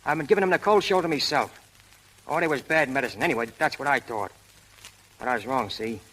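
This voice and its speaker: An actor playing a brash, hot-tempered but lovestruck prizefight manager, talking in rough street slang in 1930s radio style. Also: male voice, medium pitch, fast talker, a bit bright, slight background noise, natural voice, poor audio. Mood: bashful, dejected. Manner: tough, slangy, ungrammatical street talk. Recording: old 1930s radio broadcast recording.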